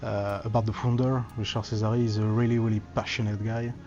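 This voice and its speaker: A man representing a cable company in a trade show interview, speaking haltingly with many fillers as he searches for words. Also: on the low side, little pitch movement, dull audio, faint music behind.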